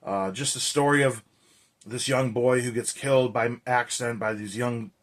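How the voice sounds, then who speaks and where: A man with a hoarse, raspy voice chatting energetically as a horror movie vlogger, loose and full of fillers. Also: mid-range voice, fairly even intonation, moderate pace. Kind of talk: casual vlog chat.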